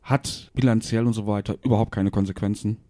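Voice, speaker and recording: Male co-host from Westphalia, lowish and mellow, matter-of-fact explainer. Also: fairly even intonation, fairly quick. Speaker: podcast co-host. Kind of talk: casual chat.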